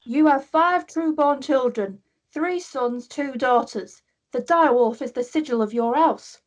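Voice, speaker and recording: An earnest, respectful young noble lad read by a woman in a fantasy table read. The voice is high and slow, setting out a careful plea. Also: rough recording. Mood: respectful, urgent. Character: earnest, respectful teenage noble boy, pleading. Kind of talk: amateur script table read.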